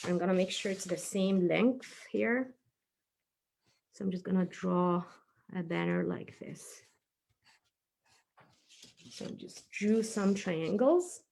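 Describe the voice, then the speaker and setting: A woman's voice: fairly low pitch, slow, gravelly, pauses often, a little mellow. Woman chatting through a kids' craft. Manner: chatty, encouraging, thinking aloud while working with hands. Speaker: children's craft and story presenter. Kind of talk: casual craft tutorial for young children, narrating steps aloud. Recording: faint background noise, small clicks.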